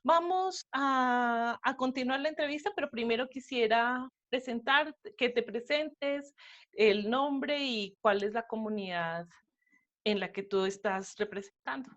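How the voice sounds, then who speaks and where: A woman's voice: fairly high pitch, a little slow, a bit bright, muffled recording. A woman moderating an informal research interview as the interviewer.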